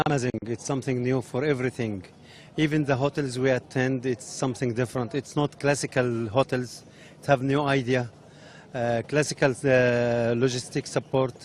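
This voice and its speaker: A man visiting as a tourist, enthusiastic and halting in broken English. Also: mid-range voice, steady tone, slight background noise.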